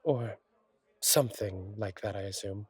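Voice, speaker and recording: Man playing a husky, wry fallen angel bartender. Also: on the low side, a little slow, no echo, a faint crowd. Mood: gently teasing. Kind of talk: intimate audio roleplay, one-sided conversation.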